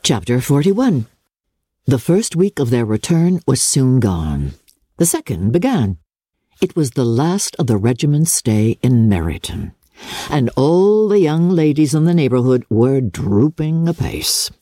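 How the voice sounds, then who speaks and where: Expressive, low-toned woman narrating, very animated. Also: no room sound, clean studio sound. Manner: theatrical, measured, expressive. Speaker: audiobook narrator. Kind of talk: dramatic scripted literary narration.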